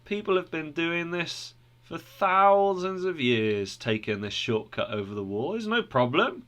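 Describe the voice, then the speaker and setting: A man's voice: fairly high pitch, animated, a little slow, fairly bright tone, voice pushed up. Man doing a couple of cocky cheats, laughing it off, brash and dismissive. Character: Hypocrisy and Formality, cocky wall-climbing men.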